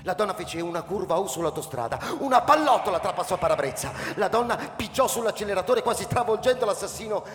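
A man's voice: high-pitched, expressive, quick pace, few pauses, in a large hall. A man reading scripted story narration for radio in a theatrical, dramatic manner. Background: music, clearly heard.

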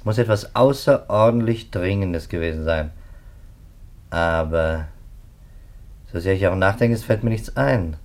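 An actor playing a sceptical, methodical police detective in a 1950s radio play, speaking in a clipped, businesslike manner. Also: male voice, low voice, measured pace, long pauses, a little mellow. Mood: pondering, insistent. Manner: businesslike, clipped, rattles off facts.

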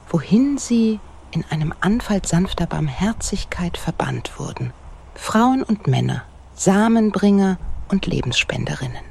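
An actress playing a sardonic, bawdy female expedition member in satirical radio drama, often half-whispering in a husky, breathy voice. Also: lowish voice, a little mellow, full character voice.

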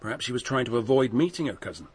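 An actor playing a polite, affable English police inspector with an English accent, courteous and probing in a radio drama. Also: male voice, medium pitch, lively intonation, very fast, husky.

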